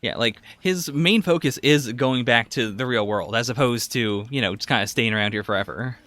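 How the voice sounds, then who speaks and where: A man's voice: mid-range voice, normal speed, bright, forceful tone, no echo. A man giving casual, analytical anime reaction commentary as a podcast co-host, musing as he talks.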